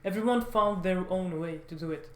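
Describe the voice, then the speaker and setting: A man talks off the cuff to his viewers. He speaks haltingly, circling back and restarting his phrases as he rambles. The recording has a clear room echo around his voice. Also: sits high, a bit bright. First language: French. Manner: halting, rambling, repeats words and corrects himself often. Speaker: vlogger, language learner. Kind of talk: casual unscripted monologue to viewers.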